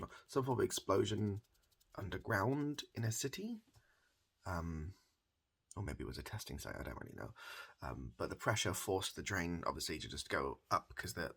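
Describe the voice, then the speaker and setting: A soft-spoken, breathy British man improvising an ASMR comedy roleplay as a barber, rambling hesitantly with deadpan absurdity, repeated phrases and swearing. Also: sits low.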